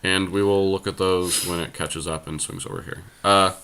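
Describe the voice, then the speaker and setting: A man's voice: low voice, steady tone, normal speed, creaky, pushed and bright, clear, resonant tone. A man hosting a podcast, casually talking through card choices for a game and thinking aloud as he goes. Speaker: podcast host. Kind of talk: casual card game commentary while deckbuilding.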